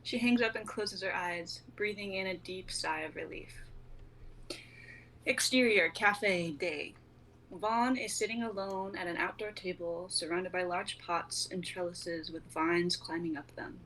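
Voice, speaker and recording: A young woman narrates a script's stage directions. Her voice sits a little low, and the amateur stream recording carries faint noise. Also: unhurried, fairly bright tone. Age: young adult. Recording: noisy amateur livestream audio.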